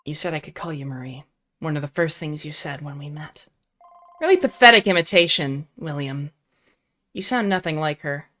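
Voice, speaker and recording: A woman's voice: sits low, normal speed, bright, forceful tone. A woman voicing a defiant young heroine with an indignant, determined manner, reading a visual novel aloud. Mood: scornful. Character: betrayed young woman hero, defiant and resolute.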